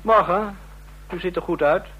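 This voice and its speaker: A man's voice: high-pitched, moderate pace, highs cut off, natural voice. A man acting in a Dutch radio play as an inquisitive, needling double agent in an office. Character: prying, insinuating office underling and double agent. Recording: noisy, humming old radio recording.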